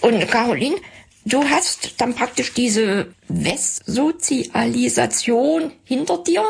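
Interviewer: woman, rough gravelly tone, very lively delivery. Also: a little high, takes it slowly, a little hiss or hum. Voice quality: husky, creaky voice. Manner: lively, curious questioning. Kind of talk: radio interview.

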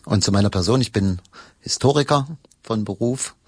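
A middle-aged man with a fairly low voice gives interview answers. He speaks haltingly, pausing often as he explains. The recording is close and dry. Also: darkish tone, slightly rough, no echo. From eastern Germany. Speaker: local historian, history workshop leader.

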